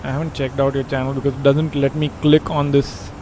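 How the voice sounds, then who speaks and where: A young man with an Indian accent chats loosely on a live stream, cracking jokes and thinking out loud as he goes. His voice sits at an ordinary pitch. A steady hiss of background noise runs under him. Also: brisk. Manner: joking, thinking aloud while flying. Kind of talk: casual live-stream chat.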